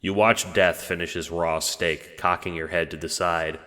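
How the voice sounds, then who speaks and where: A man's voice: deep voice, steady tone, moderate pace, bright, forceful tone, big-room sound. A man reading scripted second-person narration for an audio drama.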